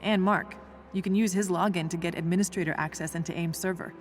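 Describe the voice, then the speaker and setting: A woman's voice: lowish voice, fairly even intonation, quick pace, faint music behind, close to an everyday voice. A woman voicing a tough, smart young scientist in a video game, dry and matter-of-fact. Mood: businesslike. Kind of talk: video game voice acting, naturalistic dialogue.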